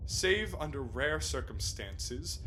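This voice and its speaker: A man acting a proud, commanding submarine captain in a radio play, speaking in a formal, measured, imperious way. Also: medium pitch, sing-song, bright, forceful tone, heavily acted, rough recording. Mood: formal, controlled. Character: proud, commanding submarine captain who has renounced society. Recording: faint background noise.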